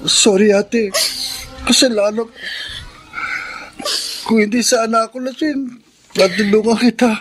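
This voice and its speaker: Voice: mid-range voice, fairly even intonation, a little slow, long pauses, slight background noise, lightly acted. An actor playing a guilt-ridden younger brother in a Filipino radio drama, apologetic and pleading. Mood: remorseful.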